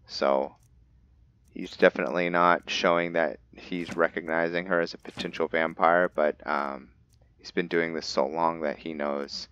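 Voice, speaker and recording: A man's voice: low voice, a bit bright, clear, resonant tone, dry recording. A man giving casual roleplay commentary on a game, thinking aloud and slipping into character. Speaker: gaming host. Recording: dull lo-fi mic, music behind.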